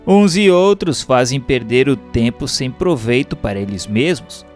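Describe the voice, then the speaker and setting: Slow, expressive Brazilian male narrator. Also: medium pitch, faint music behind. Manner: measured, formal, didactic. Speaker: audiobook narrator reading a Spiritist book. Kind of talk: scripted narration, formal book reading.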